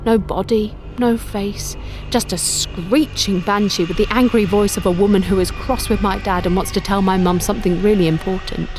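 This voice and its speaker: A woman reading a first-person audio drama as narrator, expressive and suspenseful. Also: medium pitch, normal speed. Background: music.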